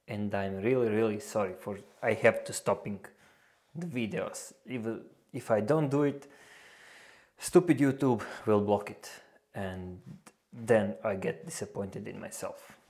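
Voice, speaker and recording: Slow-talking Bosnian man, hesitant and earnest. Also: lowish voice, lively intonation, a little mellow. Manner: hesitant, many fillers and restarts, earnest. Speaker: reaction channel host. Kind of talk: casual reaction commentary. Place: small indoor room with a lamp.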